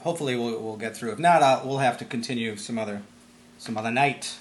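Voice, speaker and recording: A man conducting a casual interview, talking in a rambling, halting way. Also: medium pitch. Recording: roomy echo, background noise.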